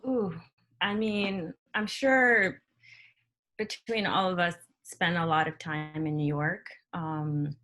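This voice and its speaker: A woman's voice: lowish voice, fairly even intonation, takes it slowly, bright, forceful tone. A woman who grew up in Ghana, a retail co-founder, speaking thoughtfully in a panel chat with frequent restarts. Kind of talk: panel discussion on a video call.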